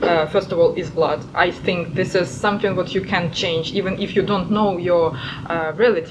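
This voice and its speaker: A woman's voice: on the low side, fairly even intonation, fast talker. A woman hosting a podcast in a casual discussion, searching for words as she talks, with many fillers and restarts. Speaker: podcast host. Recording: muffled recording with clear background noise.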